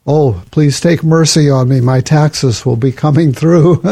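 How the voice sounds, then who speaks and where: A man hosting his own podcast, talking in a relaxed, conversational way and reading listener emails aloud. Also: a little high, measured pace, creaky. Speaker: podcast host. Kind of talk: casual podcast talk.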